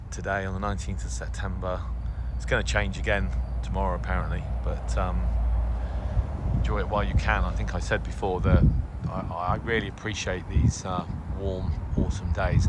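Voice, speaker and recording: A middle-aged man giving a casual, unscripted update, rambling hesitantly into asides. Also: sits low. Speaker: radio hobbyist video host. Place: outdoors in a garden. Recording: outdoor ambient noise.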